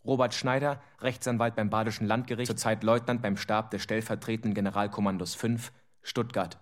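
An actor playing an officious Nazi-era official, formally reading out letters in a documentary radio play. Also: male voice, lowish voice, fairly even intonation, fairly quick, natural voice. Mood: officious, cold.

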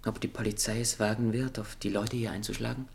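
An actor playing a wry, dejected French intellectual in a German radio play, speaking with irony and some hesitation. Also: male voice, fairly low pitch, little pitch movement, brisk, gravelly, darkish tone, husky. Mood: wry, subdued.

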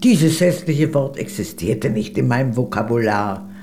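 Grand, animated aristocratic former spy. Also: female voice, very deep voice, moderate pace, faint music behind. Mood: amused pride. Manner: grand, teasing, theatrical. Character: worldly aristocratic old female former Soviet spy, proud and amused. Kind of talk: German radio drama acting.

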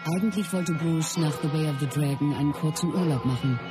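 A man acts an earnest associate narrating a life story in a documentary radio play. His pitch sits a little high, and music runs prominently behind him. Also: a little fast, natural voice. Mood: earnest, reflective.

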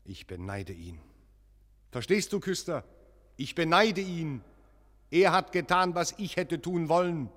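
A man's voice: on the high side, expressive, unhurried, long pauses, a bit bright. An actor playing a troubled, earnest visiting clergyman in a radio play, speaking in solemn, insistent short phrases. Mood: brooding, anguished. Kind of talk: German radio play acting. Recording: long echoing church-like reverb.

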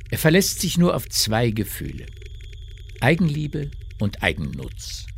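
A man's voice: medium pitch, huge pitch swings, measured pace, gravelly, a little hiss or hum. A man narrating a literary radio drama from a script.

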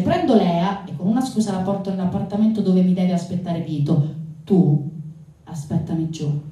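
Woman playing repentant gangster witness, confessing, measured delivery, very muffled dark tone, cavernous echo. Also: on the low side, recorded from a distance, lightly acted. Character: male mafia accomplice turned repentant witness, confessing murder. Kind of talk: theatrical monologue.

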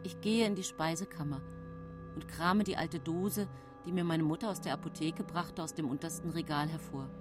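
An actress playing a woman in her thirties who narrates her own grim life in a radio drama, in measured literary storytelling. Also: sits low, fairly even intonation, over music, natural voice. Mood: composed, sly. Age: 30s. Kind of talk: German radio drama first-person narration.

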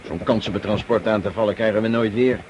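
Radio drama partisan leader, male actor: deep, gruff, shrewd, commanding, on a slightly noisy old recording. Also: fairly even intonation, fast, lo-fi sound, close to an everyday voice. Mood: irritated. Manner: commanding, emphatic, grumbling oaths.

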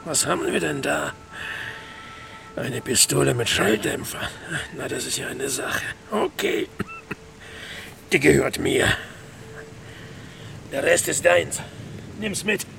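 Cold hired killer, gravelly voice, curt, commanding, radio crime drama. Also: male voice, fairly high pitch, lively intonation, takes it slowly, long pauses, noisy background, heavily acted. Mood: low, businesslike.

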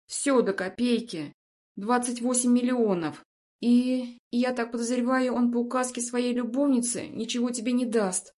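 A woman's voice: on the high side, fairly even intonation, normal speed, darkish tone, pitched above the performer's own voice, lightly acted. A woman voicing an older motherly woman, fiercely protective and wounded, who speaks with bitter, resolute emphasis in an audiobook story.

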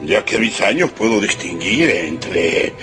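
A proud middle-aged general in a radio play. His voice is low with animated inflection, stiff and defensive, over loud music. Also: measured pace. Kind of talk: radio drama acting. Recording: heavy music behind.

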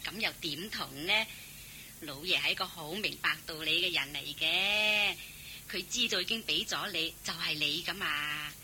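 A woman's voice: fairly low pitch, moderate pace, bright, forceful tone, slight background noise. An actress playing a shrewd, chatty household servant woman in a Cantonese radio drama, coaxing and practical. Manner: coaxing, colloquial, exclaiming.

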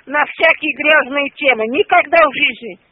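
A shrill, indignant older woman cursing down a tinny phone line, her very high voice scolding without pause. The sound is dry and squeezed into a narrow telephone band. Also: no room sound. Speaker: prank call target. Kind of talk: phone argument.

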